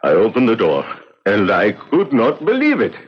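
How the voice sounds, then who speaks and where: An actor playing a poor, guilt-ridden man confessing in a 1970s radio drama, pleading and excitable as he justifies himself. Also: on the low side, moderate pace, breathy edge, exaggerated voice. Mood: pleading, regretful. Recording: dull, lo-fi old radio recording.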